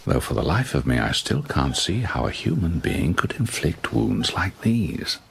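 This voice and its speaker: An actor playing a courteous, dryly witty middle-aged British hospital doctor in an audio drama. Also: male voice, deep voice, sing-song, measured pace, vocal fry, heavy background noise, full character voice. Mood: measured, grave. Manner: courteous, dry, wry.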